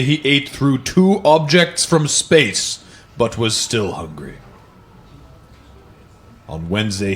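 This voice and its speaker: A man's voice: mid-range voice, fairly bright tone. A man hosting, reading children's books aloud and chatting casually about them. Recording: music behind the voice. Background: background music.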